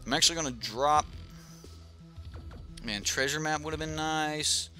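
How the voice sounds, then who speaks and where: Bright, chatty man streaming a card game. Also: a little high, a little slow, soft background music. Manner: chatty, thinks aloud with many fillers and restarts. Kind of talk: casual live-stream commentary.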